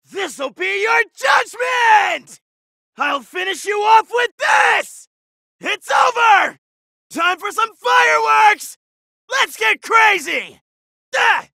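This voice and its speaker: A man voicing a cocky young male android superhero, with boastful, shouty battle cries. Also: extremely high pitch, gravelly, no echo, clean studio sound, exaggerated voice. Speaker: voice actor. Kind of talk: video game voice clips.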